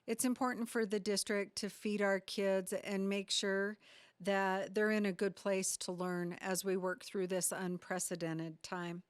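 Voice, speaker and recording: Female district leader for a community update. Smooth, clean tone, measured delivery, very dry recording. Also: medium pitch, flat delivery, no echo. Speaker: school district superintendent. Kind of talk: prepared public address to community.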